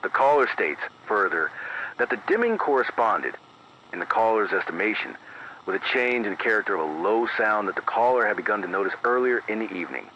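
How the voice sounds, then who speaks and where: Deep, bright-edged radio emergency announcer, acted by a man. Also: very animated. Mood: grave, measured. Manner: measured, formal, deliberate, precise. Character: grave, careful late-night male emergency radio announcer. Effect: AM radio filter. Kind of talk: scripted analog horror emergency news bulletin. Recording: dull band-limited AM radio, faint noise.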